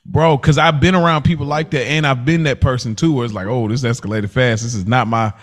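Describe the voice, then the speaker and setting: A man's voice: medium pitch, steady tone, brisk. A man hosting a podcast, casually recapping a TV show in slangy, informal storytelling. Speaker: podcast host.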